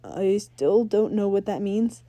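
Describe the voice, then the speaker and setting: Woman as an unsure teenage boy, very mellow. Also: medium pitch, lively intonation, dry recording, muffled recording, lightly acted. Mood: confused. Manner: hesitant, halting.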